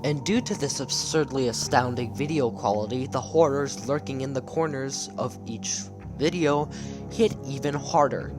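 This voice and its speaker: A man's voice: mid-range voice, moderate pace, over music. A man giving casual scripted video commentary in a conversational, enthusiastic way. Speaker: video essay narrator. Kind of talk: casual scripted commentary on horror web series.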